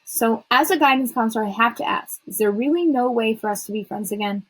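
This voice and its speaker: A woman acts out a talkative schoolgirl from a children's audiobook. She is dramatic and emphatic, her pleading voice pitched high with a husky edge. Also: brisk, a bit bright, rough recording. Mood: determined.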